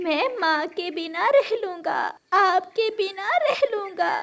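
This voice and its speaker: A woman's voice: very high voice, slight background noise, pitched above the performer's own voice, exaggerated voice. A woman voicing a hurt, earnest young boy bird who speaks plaintively in a cartoon story. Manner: plaintive, questioning.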